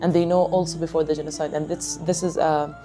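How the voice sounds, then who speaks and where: A woman from Gaza with a Palestinian Arabic accent, a singer answering interview questions in earnest, halting English, often repeating words as she restarts. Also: low-pitched, flat delivery, music in the background. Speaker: singer of a music band.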